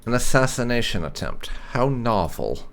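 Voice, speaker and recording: A man's voice: mid-range voice, sing-song, normal speed. A man hosting a gaming playthrough, giving dry, sardonic roleplay commentary and reading dialogue choices aloud. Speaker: gaming channel host.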